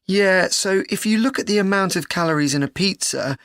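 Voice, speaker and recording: Earnest female student, acted by a woman, mid-pitched, educational dialogue, no room sound. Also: brisk, no room sound, studio quality, lightly acted. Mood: earnest, thoughtful. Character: earnest university student discussing a joint presentation.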